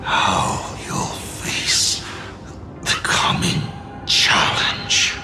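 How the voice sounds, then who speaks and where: Game villain: ancient proud schemer, gravelly and theatrical, extremely unhurried, over music. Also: male voice. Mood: proud, resigned. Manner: slow, grandiose, deliberate. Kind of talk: dramatic video game voice acting.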